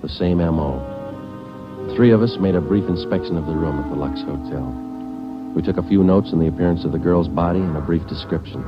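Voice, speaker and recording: A hard-boiled police detective, played by a man, narrates in short, flat, matter-of-fact sentences. His voice sits extremely low. The sound is dull and lo-fi, with music running behind it, like an old 1940s radio broadcast. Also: a little fast, natural voice. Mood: terse.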